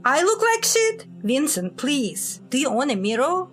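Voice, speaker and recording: High-voiced brash secret agent played by a woman. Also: big pitch swings, measured pace, faint music behind. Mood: indignant, pleading. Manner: sardonic, blunt. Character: brash, sharp-tongued female secret agent on the run. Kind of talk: audio drama dialogue.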